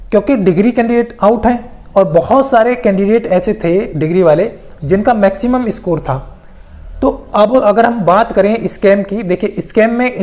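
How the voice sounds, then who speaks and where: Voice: mid-range voice, a little mellow. Explainer, emphatic and repetitive. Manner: repetitive, emphatic explaining with English loanwords mixed in. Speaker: presenter of exam news updates. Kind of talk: informal explainer addressed to exam candidates.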